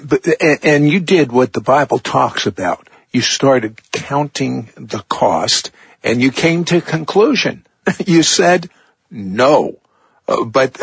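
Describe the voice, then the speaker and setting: A man's voice: medium pitch, vocal fry, slightly rough, no echo. A middle-aged man hosting a talk show, asking earnest, leading questions that retell his guest's story. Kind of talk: interviewing a guest.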